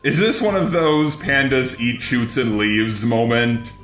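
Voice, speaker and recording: A man's voice: mid-range voice, lively intonation, a little slow, a bit bright. Synthetic robotic man's voice, roomy, over music. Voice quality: synthetic robotic text-to-speech voice. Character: robot persona commenting on cartoons. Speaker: video commentator. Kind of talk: scripted video commentary.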